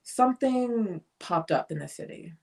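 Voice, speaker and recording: A woman's voice: mid-range voice, lively intonation, a little slow, gravelly, a little mellow. A woman playing an aloof, sardonic bohemian artist in a dramatic play reading. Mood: haughty.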